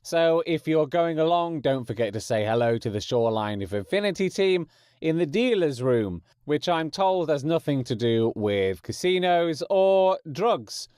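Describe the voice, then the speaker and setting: A man's voice: on the high side, expressive, measured pace, darkish tone, smooth, no echo, clean studio sound. A man hosting a podcast, reading informal promotional announcements with wry, jokey asides. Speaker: podcast host.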